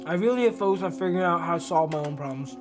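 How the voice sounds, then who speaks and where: A man's voice: high-pitched, lively intonation, rapid-fire. A man chatting casually as a game streamer, talking to viewers in a slangy, profane way. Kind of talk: casual live-stream chat and read-aloud of a visual novel. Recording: music under the voice. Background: music.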